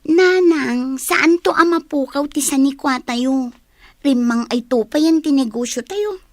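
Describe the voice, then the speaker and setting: A woman's voice: high-pitched, unhurried, no echo, studio quality. A woman acting as a lazy, stubborn student daughter in a radio drama, whiny and dismissive. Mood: defiant.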